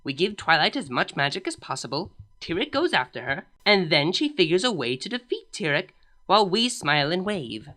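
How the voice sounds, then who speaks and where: A woman's voice: lowish voice, big pitch swings, brisk, pushed and bright. A woman reading a fan story aloud, voicing a dry, teasing younger royal pony princess who speaks matter-of-factly. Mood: sarcastic. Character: sarcastic younger royal mare princess sister.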